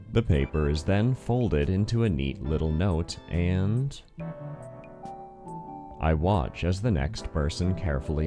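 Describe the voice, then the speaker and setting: Casting: male narrator for a visual novel, extremely low voice, introspective and wry, with music clearly underneath. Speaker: narrator and voice actor. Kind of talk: scripted first-person visual novel narration.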